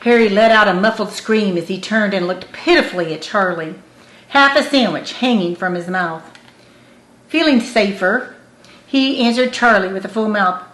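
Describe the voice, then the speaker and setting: A woman's voice: mid-range voice, normal speed, a bit bright. A woman reading a scripted story aloud as an audiobook narrator. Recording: roomy, echoing room.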